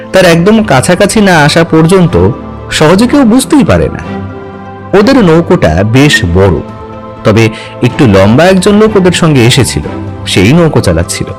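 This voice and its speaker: A man narrating adventure fiction as a dramatic, scripted audio story. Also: on the high side.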